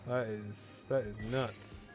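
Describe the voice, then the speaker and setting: A man's voice: fairly low pitch, steady tone, slow pace, music in the background. A man giving casual, slangy commentary as he plays a video game. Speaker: game streamer.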